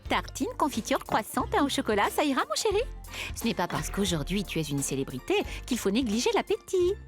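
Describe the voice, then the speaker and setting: A woman's voice: a little high, huge pitch swings, brisk, soft background music. An actress playing a fussy, doting mother in a French cartoon.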